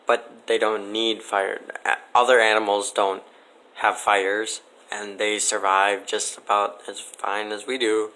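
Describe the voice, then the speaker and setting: Man, forceful bright tone, flat delivery, skeptical, sardonic, blunt, casual podcast guest. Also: on the low side, measured pace, rough recording. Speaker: podcast guest answering questions. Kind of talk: casual debate.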